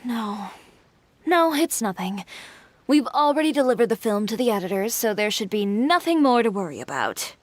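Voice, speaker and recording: A woman voicing a composed, reserved shop owner in a video game, measured and matter-of-fact. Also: on the high side, very animated, slight background noise. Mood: uneasy.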